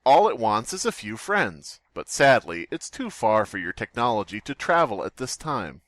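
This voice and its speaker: A man's voice: mid-range voice, sing-song, moderate pace, a bit bright, no echo. A sarcastic, wisecracking man co-hosting a podcast, joking casually and reading stories aloud. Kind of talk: casual joking chat and mock-dramatic story reading.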